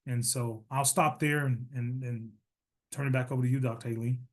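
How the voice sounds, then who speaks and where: A middle-aged man answering as a panelist, animated and joking, often restarting his sentences. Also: mid-range voice, normal speed. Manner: animated, many restarts, self-deprecating humor. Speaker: panelist, retired captain and nurse leader.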